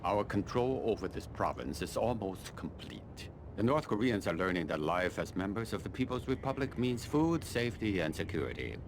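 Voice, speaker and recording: An actor playing a cold, calculating colonel in a video game, speaking in a measured, formal, condescending way. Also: male voice, fairly low pitch, sing-song, noisy background. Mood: grandly satisfied. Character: cold, calculating middle-aged Chinese army colonel, male. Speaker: military commander giving mission briefings. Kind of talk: video game mission briefing dialogue.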